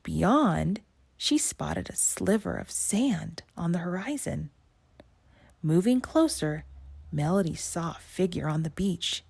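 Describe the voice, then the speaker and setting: Low-voiced, unhurried woman storybook reader. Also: expressive, creaky, a little mellow, husky, dry recording. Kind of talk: expressive children's read-aloud narration.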